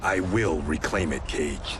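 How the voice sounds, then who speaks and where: Deep-voiced, stern swordsman. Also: takes it slowly, noisy background. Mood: irritated. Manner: serious, curt, disapproving. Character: stern, principled male ex-Yakuza swordsman. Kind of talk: cinematic video game acting.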